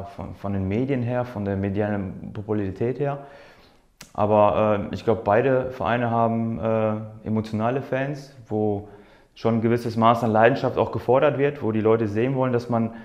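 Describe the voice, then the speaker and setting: A man's voice: on the low side, darkish tone, lo-fi sound. A man who is a native Polish speaker, answering interview questions casually as a footballer, often searching for words and repeating himself. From born in Poland, grew up in Germany's Ruhr area. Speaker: professional footballer being interviewed. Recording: roomy, echoing sound.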